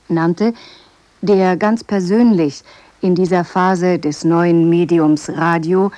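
A woman presenting a scripted radio introduction in a measured, formal manner. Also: fairly low pitch, mellow, no echo, muffled recording.

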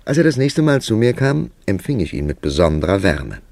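A man playing a world-weary, ironic writer who narrates his own tale in a measured, wry, literary German radio drama style. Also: on the low side, big pitch swings, creaky, darkish tone, no echo. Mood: wry, reflective.

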